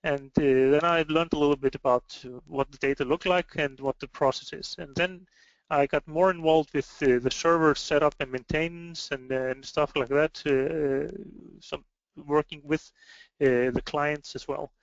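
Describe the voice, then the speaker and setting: A man with an Icelandic accent gives an informal webinar presentation in a hesitant, halting way. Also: a little high, fairly even intonation, no echo. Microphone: online web meeting microphone. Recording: dry, slightly muffled online call audio.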